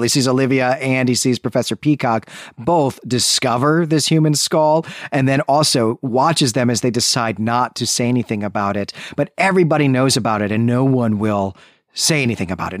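A man's voice: mid-range voice, dry recording, clean studio sound. A man co-hosting a podcast, casually discussing books, thinking aloud and repeating phrases for emphasis. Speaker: podcast co-host.

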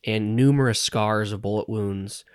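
A man running a tabletop game as game master, describing scenes in a relaxed way with lots of fillers and chuckles. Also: lowish voice, measured pace, smooth, no echo, clean studio sound.

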